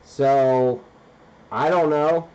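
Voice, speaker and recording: A man's voice: medium pitch, steady tone, drawn-out words, dark tone, smooth. An American man chatting casually over amateur radio in an informal, somewhat hesitant way. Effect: radio transmission. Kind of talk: casual two-way ham radio conversation. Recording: dull, band-limited ham radio transmission.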